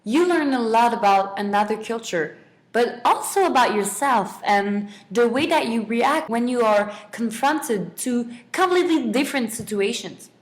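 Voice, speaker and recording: A woman's voice: a little high, expressive, a little slow. A young adult woman, a student guest giving semi-prepared answers in a radio interview.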